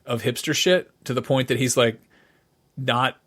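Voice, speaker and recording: A middle-aged man speaks at an average pitch as a podcast co-host. His delivery is informal and unscripted. He has a wry, irreverent way of putting things in loose conversation. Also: fairly even intonation, measured pace, fairly bright tone. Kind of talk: casual, unscripted chat.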